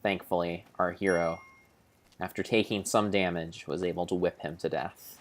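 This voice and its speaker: A man giving a grave, theatrical, storybook-style narration of video game play, in deliberate phrases with pauses. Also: deep voice, fairly even intonation, slight background noise. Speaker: streamer narrating his gameplay.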